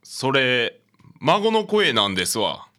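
A man's voice: mid-range voice, sing-song, takes it slowly, bright, forceful tone, exaggerated voice. A man playing an older, chatty apartment landlord with a Kansai accent in a radio drama. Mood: sheepish. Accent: Kansai Japanese. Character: old male apartment landlord, a chatty grandfather.

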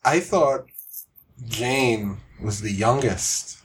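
A man's voice: medium pitch, very slow, a little hiss or hum, low-quality recording. A man chatting casually on a podcast in a questioning, incredulous way. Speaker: podcast host. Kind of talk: casual chat.

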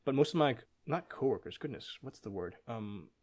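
A man's voice: medium pitch, expressive, normal speed, a little mellow. Young American man telling a funny story. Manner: laughs often while telling a story. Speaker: podcast guest. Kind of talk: casual storytelling chat.

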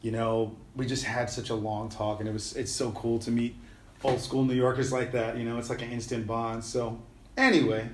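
A man's voice: mid-range voice, little pitch movement, moderate pace, reverberant, slight background noise. A middle-aged man, a musician guest talking casually in an easygoing, rambling way with slang and fillers. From New York City. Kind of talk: casual conversational interview.

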